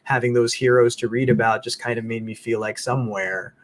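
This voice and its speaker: A man's voice: medium pitch, fairly even intonation, a little fast. A man in his thirties who grew up in the Philippines, co-hosting and sharing memories in a reflective, hesitant way. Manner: reflective, hesitant, many restarts. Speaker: co-host. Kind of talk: casual interview chat.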